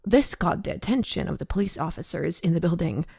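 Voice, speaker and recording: A woman's voice: fairly low pitch, lively intonation, brisk, gravelly, a little mellow, slightly rough, no echo, clean studio sound. A woman reading scripted narration for an audio drama.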